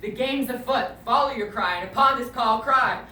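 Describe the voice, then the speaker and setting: A man's voice: a little high, measured pace, a bit bright. An actor playing a warrior king urging his soldiers into battle, declaiming a Shakespeare monologue in a commanding, projected delivery. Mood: rousing. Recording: distant mic, echoey, clearly noisy ambience.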